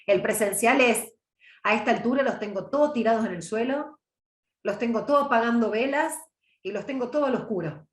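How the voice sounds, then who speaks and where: A woman's voice: on the high side, a little fast, roomy sound. A middle-aged woman from Córdoba with a mild Argentine accent, teaching a public speaking class over a video call in a chatty, conversational way. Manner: chatty, warm, answers chat questions by name. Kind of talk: online class, casual lecture.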